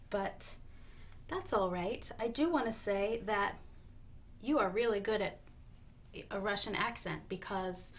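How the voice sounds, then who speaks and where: A woman hosting a comedy talk show with a deadpan, mock-serious delivery. She stays polite and earnest while saying absurd things, at an ordinary mid pitch. A faint hiss sits under the recording.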